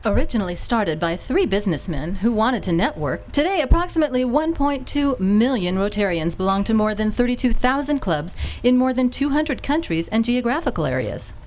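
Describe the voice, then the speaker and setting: A woman's voice: a little high, very animated, brisk, few pauses, a little mellow, a little hiss or hum. A woman reading a sponsor commercial in live radio theater, with a chirpy, sing-song delivery. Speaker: sponsor ad reader. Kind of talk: read-aloud radio commercial with jingle.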